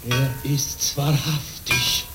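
A man's voice: mid-range voice, flat delivery, takes it slowly, roomy sound, music in the background, close to an everyday voice, poor audio. A man playing a grey-haired, impatient knight in a radio play, declaiming solemnly. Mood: awed. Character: ageing grey-haired knight, avenger, impatient yet awed. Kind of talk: German radio play acting.

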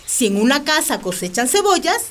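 A woman's voice: high-pitched, big pitch swings, normal speed, slight background noise. A woman playing an enthusiastic community gardener in a radio drama. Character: lively community gardener explaining old barter ways.

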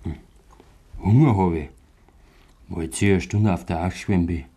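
An actor playing a sullen, gruffly defensive village man in a Bavarian dialect radio play. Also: low voice, moderate pace, dark tone.

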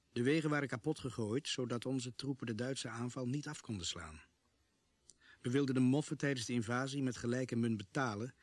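Een acteur die in een hoorspel als verteller optreedt en bedaard terugblikt op de gebeurtenissen. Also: male voice, medium pitch, no room sound, lightly acted. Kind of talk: scripted radio play narration.

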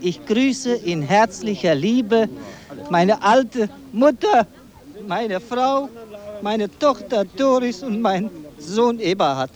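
A man's voice: sits high, animated, takes it slowly. A man sending a solemn, declamatory greeting to family over the radio, outdoors.

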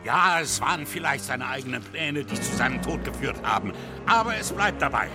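A man as a stern inspector over loud music. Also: medium pitch, expressive, a little fast, lightly acted. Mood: accusing, grave. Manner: accusatory, emphatic. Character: stern, accusing male police inspector, indignant and blunt. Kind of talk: radio drama acting.

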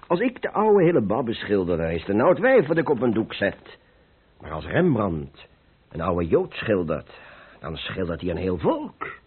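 An actor playing a gruff, modest, big-hearted master painter in a Dutch radio play, blunt and impatient, repeating himself. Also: male voice, lowish voice, wildly swooping pitch, moderate pace, heavily acted. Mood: earnest, reflective. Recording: muffled old radio play recording.

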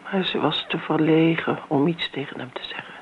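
An actress playing a bitter grown daughter in a Dutch radio play, dry, sarcastic and coolly needling. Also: female voice, low voice, steady tone, moderate pace, close to an everyday voice. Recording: noisy, narrow-band old radio recording.

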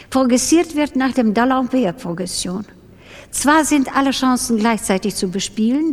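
An actress playing a matter-of-fact announcer who reads out instructions in a radio drama, clinical and measured. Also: female voice, high voice, darkish tone. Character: matter-of-fact female announcer reading out roulette betting systems. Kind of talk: scripted manual reading in radio drama. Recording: long hall reverb.

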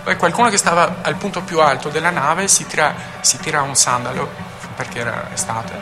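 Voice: mid-range voice, a little fast, over music. A speaker telling a remembered event in a colloquial way.

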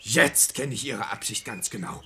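An actor playing an indignant, gullible husband and master of the house in a German radio play, speaking verse in an agitated, exclamatory, theatrical way. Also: male voice, mid-range voice, moderate pace, bright, forceful tone.

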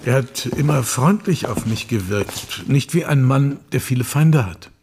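An actor playing a polite, calm gentleman in a radio drama, speaking in a measured, courteous way. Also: medium pitch, slight background noise. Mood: reserved. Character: polite, calm gentleman helping a police inquiry.

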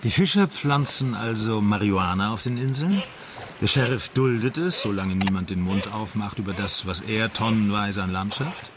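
An actor playing a matter-of-fact, probing Dutch police adjutant in a radio crime drama. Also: male voice, fairly low pitch, moderate pace, natural voice. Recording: clear background noise under dialogue.

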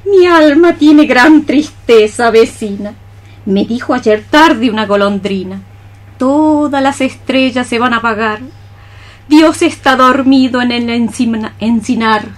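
A woman's voice: a little high, a little slow, natural voice. A woman playing a melancholy, dreamy cockroach mystic in radio theatre, sighing her lines as solemn lyrical verse. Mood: mournful.

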